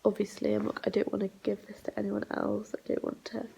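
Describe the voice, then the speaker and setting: A young woman vlogging at home while sick and congested, rambling in a low, half-whispered voice. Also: completely flat delivery, brisk, gravelly, dark tone, husky. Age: young adult. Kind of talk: casual diary vlog. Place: flat, indoors.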